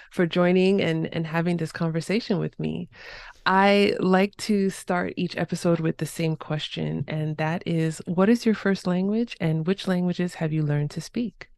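A woman hosting a language podcast, reading her scripted introduction in an upbeat, clearly spoken way before she starts the interview. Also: low-pitched, darkish tone, dry recording. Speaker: podcast host.

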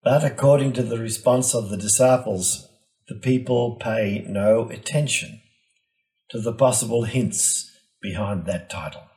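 A male priest giving an expository reflection on scripture in a measured, teaching way, stressing key names and phrases. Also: mid-range voice, warm tone, poor audio.

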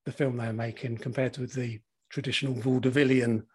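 A man in his forties with a slightly croaky voice, co-hosting a casual podcast chat, laughing often and swearing freely. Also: medium pitch, flat delivery, fairly quick, darkish tone. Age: 40s. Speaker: podcast co-host.

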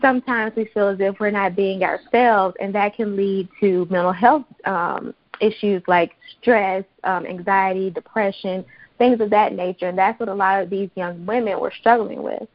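An American woman from Mississippi giving explanatory interview answers as a therapist, in articulate, measured professional phrasing. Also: mid-range voice, steady tone, dry recording, lo-fi sound. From Mississippi, United States. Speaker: psychotherapist, interview guest.

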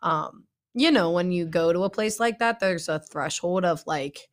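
A woman's voice: lowish voice, normal speed. A woman in her twenties, a youth pastor co-hosting a podcast in easy slangy chat with many fillers. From Northeast Ohio. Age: 20s. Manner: laid-back slangy talk, many fillers. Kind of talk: casual chat.

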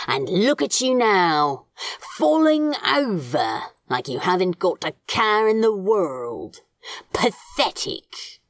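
A scornful demon man from a storybook, acted out by a woman, sneering and growling his threats. The delivery is unhurried and swings widely in pitch, with a grumbling, exasperated edge. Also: no room sound. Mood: menacing, scornful. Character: scornful male demon scolding houseplants, grumbling and menacing.

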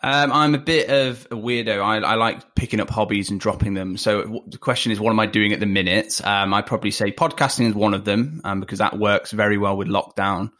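A man's voice: fairly low pitch, a little fast, hardly any pauses, fairly bright tone. A man in his twenties with a Leicester accent, a podcast guest chatting casually from home and rambling with lots of ums and wry asides. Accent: Leicester English. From England. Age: 20s. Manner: rambling, full of ums and self-deprecating asides. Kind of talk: casual interview chat. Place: home, remote call.

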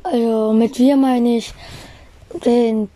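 A hoarse young woman hosting a casual podcast, teasing and offhand, muttering asides while playing cards. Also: on the high side, fairly even intonation, slow, warm tone, poor audio. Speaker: podcast host.

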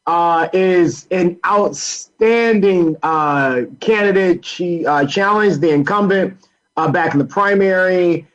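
Male American political interviewee, slightly high voice, halting delivery with restarts, muffled low-fidelity recording. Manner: halting, repeats words while explaining. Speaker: guest, labor council political director. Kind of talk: radio interview, political advocacy talk.

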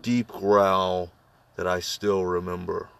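Deep-voiced man telling a halting story. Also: level tone, vocal fry, a bit bright, clean tone, dry recording, distant mic. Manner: halting storyteller, many fillers and restarts. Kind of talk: casual ghost story among friends.

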